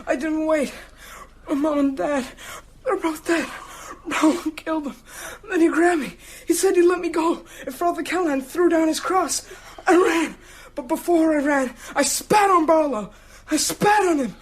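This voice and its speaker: A woman's voice: high voice, moderate pace, slight background noise, close to an everyday voice. A woman playing a shaken but fiercely determined young boy in a radio drama. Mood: shaken, defiant.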